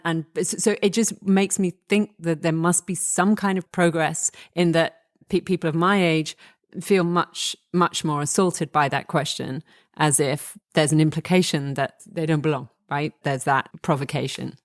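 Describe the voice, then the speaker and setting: A woman's voice: low-pitched, fairly even intonation, moderate pace. A middle-aged woman co-hosting an interview, asking reflective, musing questions. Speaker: podcast co-host. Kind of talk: conversational interview.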